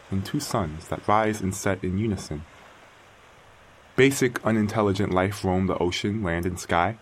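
A man's voice: on the low side, a little hiss or hum, close to an everyday voice, low-quality recording. A man playing an exiled artificial intelligence in an audio drama, formal and articulate, musing to himself like a wounded, precise inventor. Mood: methodical, aimless. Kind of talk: audio drama acting, log narration.

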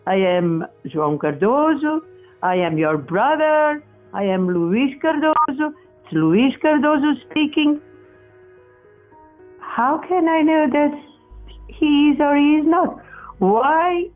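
A speaker answers questions slowly, stumbling and restarting as they search for words. The audio is thin and phone-like. Faint music sits underneath. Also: high-pitched, animated. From Portugal. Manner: halting, many restarts and self-corrections. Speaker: experimenter in spirit communication. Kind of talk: interview answers.

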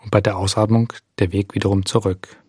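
A man leading a guided body scan meditation as an instructor, speaking calmly and gently with unhurried pauses. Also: fairly low pitch, slightly rough, no echo, studio quality.

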